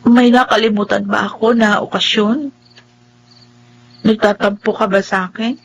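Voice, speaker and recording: An actress playing a gentle, devoted blind young wife in a radio drama, speaking tenderly and pleadingly. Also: female voice, mid-range voice, fairly even intonation, normal speed, slight background noise, lightly acted. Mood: hurt, pleading. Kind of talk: radio drama acting with first-person narration.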